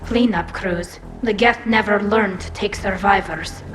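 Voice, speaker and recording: A woman voicing an earnest, solemn female alien exile in a video game, measured and sorrowful. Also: mid-range voice, background noise, lightly acted. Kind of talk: dramatic video game acting.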